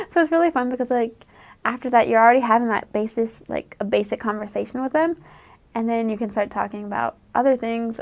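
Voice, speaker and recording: A woman's voice: a little high, creaky. A young woman from the United States, a returned missionary, giving chatty advice and laughing often as she acts out quoted voices. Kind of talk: casual advice talk.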